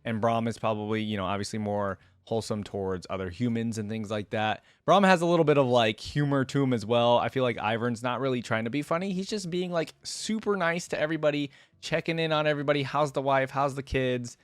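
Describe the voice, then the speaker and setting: A man's voice: medium pitch, a little fast, hardly any pauses, clean tone, no room sound, studio quality. A man giving casual reaction commentary as a host, thinking aloud as he goes. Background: music.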